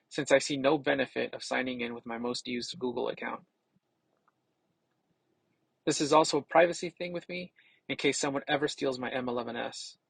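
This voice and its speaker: Gravelly, husky man narrating a demo. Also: medium pitch, fairly bright tone, dry recording, poor audio. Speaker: tech reviewer. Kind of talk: scripted product demo narration.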